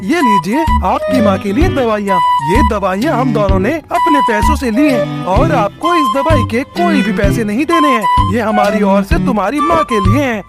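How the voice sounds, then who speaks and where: A woman voicing earnest, forceful male creatures in a children's cartoon, with an emphatic way of talking. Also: fairly high pitch, animated, normal speed, few pauses, over loud music, close to an everyday voice. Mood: falsely kind. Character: male birds and mango tree, earnest, forceful characters. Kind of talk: Hindi children's cartoon dubbing.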